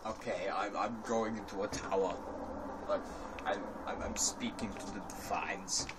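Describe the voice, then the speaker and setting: A young man with a deep voice, teasing and rambling as he watches a friend play. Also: steady tone, unhurried. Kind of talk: casual banter. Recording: home video with noticeable background noise.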